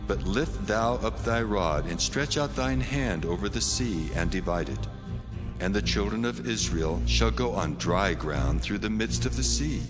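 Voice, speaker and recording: A deep, solemn voice of God acted by a man rings out in long reverb. It proclaims commands with stately authority in a Bible drama. Loud music plays underneath. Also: expressive, measured pace. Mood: commanding. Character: the Lord God, commanding, solemn male divine voice.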